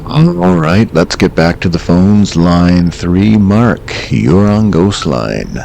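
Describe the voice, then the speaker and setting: An actor playing a calm late-night call-in radio host who asks short, probing questions. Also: male voice, sits low, slow, gravelly, a little hiss or hum, full character voice.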